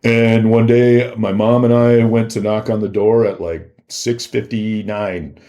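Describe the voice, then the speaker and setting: Low-voiced man telling casual stories. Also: steady tone, a little slow, mellow. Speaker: podcast guest. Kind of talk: casual anecdotal storytelling.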